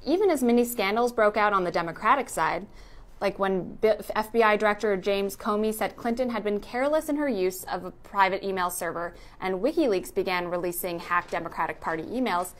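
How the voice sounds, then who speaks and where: A woman reading scripted narration for a news explainer. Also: medium pitch.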